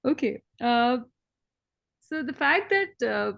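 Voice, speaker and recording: A woman hosts an interview, her mother tongue Tamil, attentive and asking questions that stop and restart as she shapes them. Her voice is a little high, and she adds short affirmations while she listens. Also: sing-song, slow pace, gravelly, fairly bright tone. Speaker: interviewer, host. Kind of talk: conversational interview.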